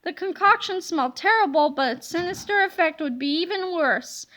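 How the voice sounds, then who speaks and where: A woman reading a children's book aloud with lively, expressive storytelling. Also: very high voice, moderate pace. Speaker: read-aloud narrator.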